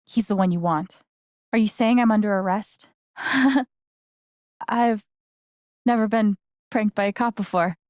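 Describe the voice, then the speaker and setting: A woman's voice: mid-range voice, measured pace, pauses often, a little mellow, no room sound, studio quality, close to an everyday voice. An actress playing a guarded, wry young woman in a video game, speaking in a casual, hesitant, understated way. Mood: wary, amused. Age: young adult. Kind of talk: naturalistic video game voice acting.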